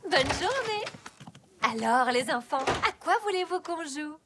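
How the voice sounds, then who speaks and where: A woman's voice: high voice, very animated, unhurried, background noise. A woman voicing an overeager, naive student babysitter in a children's cartoon, with a bubbly, gushing, earnest manner. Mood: cheerful, eager.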